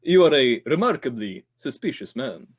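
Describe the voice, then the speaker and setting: A man's voice: medium pitch, very animated, normal speed, slightly rough. A man playing a suave, scheming doctor in an old-time radio drama, courteous and slyly theatrical. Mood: smooth, calculating. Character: suave, scheming male doctor who hires killers.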